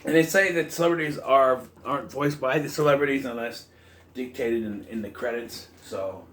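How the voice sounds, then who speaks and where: A man with a bright, forward voice at a middle pitch talks through a review in a loose, unscripted way. He rambles casually from point to point, over faint background noise. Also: normal speed. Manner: loose, improvised, acting out quoted bits. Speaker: reviewer of an animated series. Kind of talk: casual rambling episode recap.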